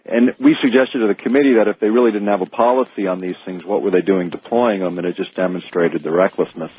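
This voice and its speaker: A North American man with a husky voice, explaining in earnest, careful detail as a guest on a radio interview. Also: low voice, steady tone, quick pace, a little hiss or hum. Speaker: guest author and researcher. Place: remote call from Alaska into radio show. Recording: phone-like, echoey archived radio call.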